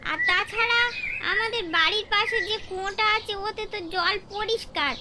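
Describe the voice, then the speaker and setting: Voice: very high-pitched, moderate pace. A performer voicing a curious, stubborn young village boy in a cartoon, asking insistent questions over a noisy recording. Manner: inquisitive, insistent. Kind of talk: cartoon horror story dialogue.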